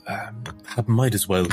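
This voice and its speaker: A man playing an earnest, harried inquisitor in a tabletop game, talking in a hesitant, wry way. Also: fairly low pitch. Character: earnest, harried male inquisitor, fond pupil of priest.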